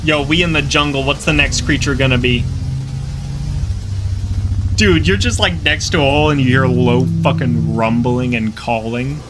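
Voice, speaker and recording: A young man doing casual reaction commentary as a video host, talking in slangy, excitable exclamations. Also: mid-range voice, moderate pace, loud music behind the voice.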